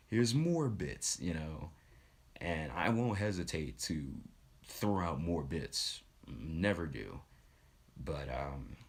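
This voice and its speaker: Low-voiced man chatting on a livestream. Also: fairly bright tone. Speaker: live streamer. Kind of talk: casual livestream chat, giving shout-outs.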